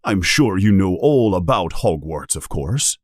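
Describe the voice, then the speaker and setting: A big, gruff but kindly giant groundskeeper booms out slowly, as a man puts him on. His low voice lurches widely up and down. The storybook recording is dry and close. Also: big pitch swings, no echo, heavily acted. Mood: friendly.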